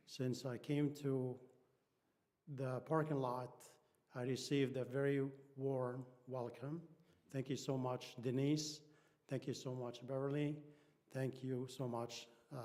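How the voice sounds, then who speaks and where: A man's voice: medium pitch, warm tone. A man from Egypt with an Egyptian accent, a native Arabic speaker, giving an informal talk as a guest speaker to a congregation. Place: church hall.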